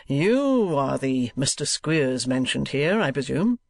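A man voicing a polite, mildly amused and sceptical gentleman traveller in an audiobook, measured and dry. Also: medium pitch, sing-song, gravelly, dry recording, heavily acted. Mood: dryly amused.

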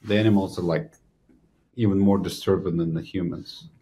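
A man in his sixties with a fairly low voice. He hosts an interview and explains points in an animated way. He sits at a desk podcast mic in a small studio. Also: moderate pace. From Ukraine. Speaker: physician host. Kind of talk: interview conversation. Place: small studio room with a banner.

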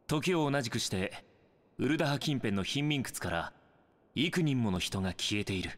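An actor as a confident young man, lively intonation. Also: mid-range voice, normal speed, in a large hall, close to an everyday voice. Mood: serious briefing. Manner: confident, informal briefing. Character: self-assured young male scout and investigator. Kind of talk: Japanese video game cutscene acting.

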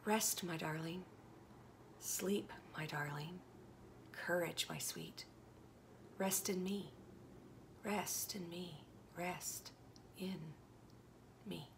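A woman voicing a tender, loving male God who comforts a beloved soul in a soft, whispered, lulling way. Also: lowish voice, very slow, noisy background.

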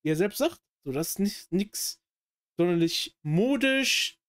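A man's voice: high-pitched, animated, a little slow, fairly bright tone. A young man giving casual, opinionated reaction commentary in emphatic slang peppered with English phrases. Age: young adult. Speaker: reaction video host. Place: small home gaming room.

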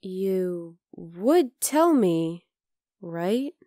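A woman's voice: on the low side, sing-song, extremely slow, mellow, no room sound, studio quality, exaggerated voice. A woman voicing a teasing, affectionate young wolf-girl girlfriend in an ASMR roleplay, casual and exasperated but tender. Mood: earnest, concerned. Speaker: voice actor in audio roleplay. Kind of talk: ASMR roleplay, acted one-sided dialogue.

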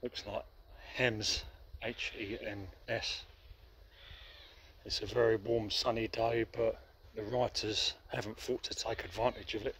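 A man's voice: lowish voice, fairly even intonation, a little slow, fairly bright tone, slight background noise, poor audio. A middle-aged man giving casual, wry walking commentary outdoors on a handheld phone, swearing now and then. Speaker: graffiti vlogger. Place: outdoors by a graffiti wall and woodland. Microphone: handheld camera phone.

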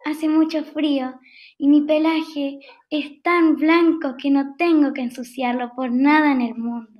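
A woman's voice: high voice, steady tone, measured pace. A woman with a Chilean accent voicing a pampered, lazy female house cat in a radio play, whiny and reluctant, over a video call. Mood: cosy, reluctant. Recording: dull video-call audio.

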